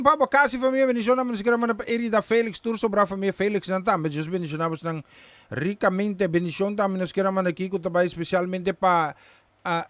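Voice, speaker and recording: A man hosts a gospel radio show, sending greetings to listeners in an upbeat, joking manner. For a man, his voice sits very high. The recording is dry and close, with no room sound. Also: fairly bright tone, dry recording. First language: Papiamentu. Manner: upbeat, joking, reads out names quickly. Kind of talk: live radio shout-outs.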